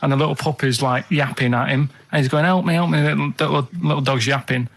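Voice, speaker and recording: A man's voice: medium pitch, steady tone, fast, vocal fry. Male radio co-host, plain-spoken and rambling, casual anecdote style.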